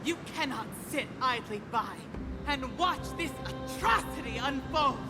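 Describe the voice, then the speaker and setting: A woman's voice: sits high, animated, a little slow. An actress playing a proud, fierce warrior queen and mother in a video game, speaking in commanding, theatrical, formal oratory. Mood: scornful, outraged. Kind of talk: dramatic video game voice acting. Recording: loud music under the voice.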